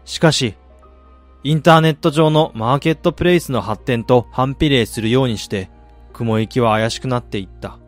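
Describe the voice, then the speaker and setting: An actor playing a weary middle-aged failed businessman, narrating his regretful past in a measured, reflective way for a radio drama. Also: mid-range voice, close to an everyday voice. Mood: resigned. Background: music.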